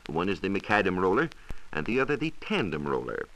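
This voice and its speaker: Deep-voiced American man, vintage radio science talk. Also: very animated, a bit bright, low-quality recording. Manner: measured, explanatory, formal wording. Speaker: science reporter on a radio show. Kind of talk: 1940s radio science talk, part scripted answers. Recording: 1940s radio transcription disc.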